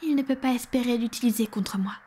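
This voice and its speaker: A woman playing a powerful, protective female vampire in an ASMR roleplay, speaking in a soft, breathy, formal and self-assured way. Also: fairly high pitch, fast, a little hiss or hum, close to an everyday voice. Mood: cold, commanding. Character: powerful protective female vampire, commanding yet tender lover.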